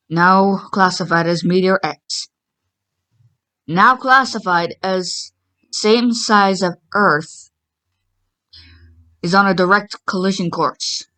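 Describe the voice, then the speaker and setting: Slow-paced woman announcing emergency warnings. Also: on the low side, fairly bright tone. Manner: formal, impersonal, measured. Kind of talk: scripted emergency alert announcement.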